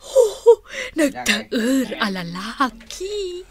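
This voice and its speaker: Coy lovestruck lady, very slow delivery. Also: sits high, big pitch swings, exaggerated voice. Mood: coy, delighted. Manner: drawn-out, hesitant, flustered delivery. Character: lovestruck, coy, giggly woman named Ansing visiting a prisoner. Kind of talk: comic radio drama acting.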